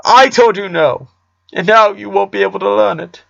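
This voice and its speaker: A pained middle-aged father talking with a cracking voice that keeps pushing up high in distress, as a male narrator puts him on. The tone is gravelly. The recording sounds dull and lo-fi. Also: moderate pace, a bit bright, heavily acted. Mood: anguished. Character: emotional working-class father, middle-aged man.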